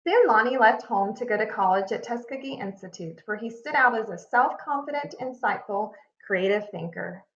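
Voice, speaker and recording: A woman reading a children's picture book aloud like a teacher, expressive and deliberate, leaning on key words. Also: medium pitch. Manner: expressive, deliberate storytelling with emphasis. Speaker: read-aloud narrator, teacher. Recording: echoey room, slightly muffled sound.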